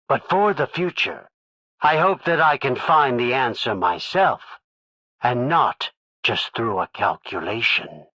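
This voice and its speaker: Bright-voiced, earnest young man. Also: mid-range voice, dry recording, dull audio, full character voice. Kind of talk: scripted game voice lines.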